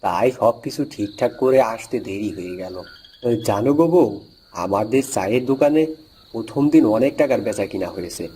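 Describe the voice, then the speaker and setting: An actor playing a kindly, modest village tea seller in a cartoon, with a gentle, homely manner. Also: male voice, lowish voice, animated, brisk, slight background noise, close to an everyday voice. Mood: homely, content. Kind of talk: cartoon voice acting. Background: faint music.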